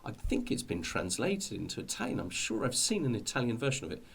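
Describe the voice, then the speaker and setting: A man's voice: medium pitch, big pitch swings, fairly quick, creaky, a bit bright, slight background noise. A middle-aged British man talking informally to viewers as he explains things, in a hesitant, rambling way with repeated words. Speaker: hobby video host.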